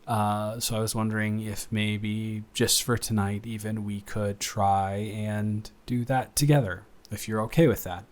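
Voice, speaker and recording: A man's voice: fairly low pitch, fairly even intonation, measured pace, hardly any pauses, natural voice. A man playing a shy, affectionate roommate in an improvised intimate audio roleplay, speaking in a hesitant, rambling way with stammers. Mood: tender, wistful. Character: shy, affectionate male roommate confessing to best friend.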